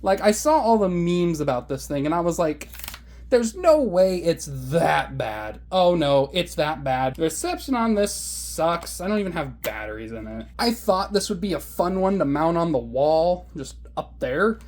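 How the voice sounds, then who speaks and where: A man with a high voice, casually showing his hobby collection in a sarcastic, exasperated, exaggerating rant. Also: expressive, moderate pace, a little mellow. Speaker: hobbyist video host. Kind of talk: casual show-and-tell rant.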